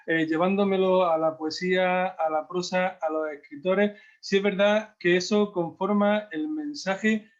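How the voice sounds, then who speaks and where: A man asks his questions at a measured pace, his voice somewhat high for a man. He reflects aloud and pauses on "eh" as he builds his point. The audio is dull and lo-fi. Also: steady tone. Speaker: interviewer. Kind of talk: conversational interview.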